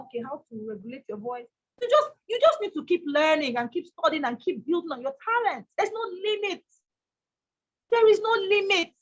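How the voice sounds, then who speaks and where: A woman with a Nigerian accent and a loud, strong voice, giving an emphatic motivational talk and repeating her key lines. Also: sits high, dull audio. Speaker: motivational speaker.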